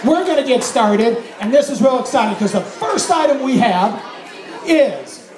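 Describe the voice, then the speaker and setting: A man calling a live charity auction, energetic and joking, rattling off bids fast. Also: very high voice, noisy background. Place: large event tent with a crowd. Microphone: handheld mic through PA, filmed from audience phone. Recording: echoey PA sound with crowd.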